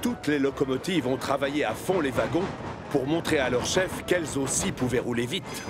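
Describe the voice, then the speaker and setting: A man's voice: medium pitch, lively intonation, normal speed, loud music behind the voice. A man narrating a children's cartoon story in a scripted storytelling style.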